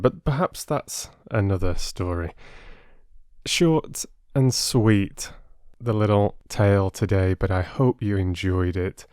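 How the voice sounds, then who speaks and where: A man who reads stories aloud and chats to his listeners in a playful, expressive way. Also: fairly low pitch, no echo, clean studio sound. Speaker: audiobook narrator and channel host. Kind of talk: storybook narration and casual chat to listeners.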